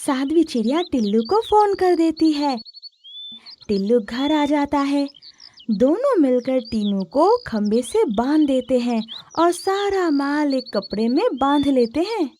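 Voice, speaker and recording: Animated, high-pitched woman storyteller. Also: a little slow, slight background noise. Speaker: narrator. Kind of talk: scripted children's story narration.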